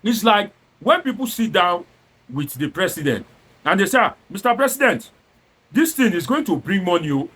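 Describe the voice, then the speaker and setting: A man's voice: high voice, very animated, moderate pace, fairly bright tone, slight background noise. A man with a Nigerian accent giving informal satirical political commentary, theatrical and exclamatory, slipping into Pidgin and throwing out rhetorical questions. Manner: slips between standard English and Nigerian Pidgin.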